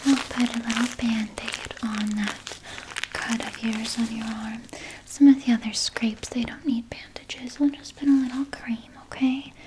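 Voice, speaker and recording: Vampire nurse played by a woman: sweetly sinister ASMR whisper, slow and soothing, fairly high, coddling with veiled threat. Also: level tone, noisy background, close to an everyday voice. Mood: gentle, matter-of-fact. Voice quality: breathy whisper. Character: vampire nurse, sweetly doting yet quietly menacing. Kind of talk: ASMR roleplay, personal attention.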